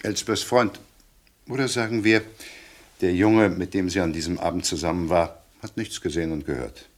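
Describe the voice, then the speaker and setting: An actor playing a gruff, impatient police inspector with a dry, sarcastic streak in a radio crime play. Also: male voice, low-pitched, steady tone, normal speed, close to an everyday voice. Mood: drily sarcastic. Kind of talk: German radio crime drama acting.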